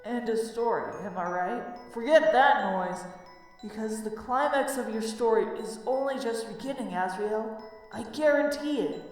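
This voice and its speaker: A loyal, laid-back friend acted by a woman in an amateur cartoon drama, slangy and earnest. The voice is a little high and strongly echoing, with music softly behind. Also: measured pace, natural voice. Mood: earnest, encouraging. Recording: distant, heavily echoing hall, music behind.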